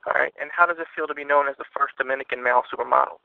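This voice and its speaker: A man's voice: mid-range voice, steady tone, very fast, gravelly, no echo. A man conducting a casual interview over the phone, informal and laid-back in his wording. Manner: laid-back, informal, frequent short acknowledgements. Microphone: telephone. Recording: muffled narrow phone line.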